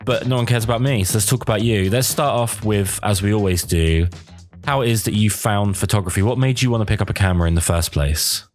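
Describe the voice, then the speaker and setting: A man's voice: fairly low pitch, fairly quick, soft background music. A man hosting a podcast interview, bunged up and nasal from a cold, chatty and self-deprecating. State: congested from a cold. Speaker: podcast host. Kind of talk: casual interview chat.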